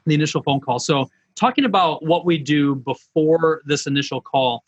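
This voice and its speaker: A man's voice: a little high, normal speed, highs cut off. A middle-aged man, a business coach, presenting online in an informal, folksy, conversational way.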